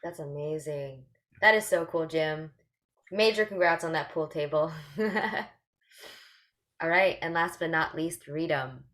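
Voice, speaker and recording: A woman's voice: low voice, slow pace, a bit bright. A woman from Canada hosting an online sharing circle, upbeat and encouraging in a casual way. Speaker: circle host. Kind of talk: casual group welcome, video call.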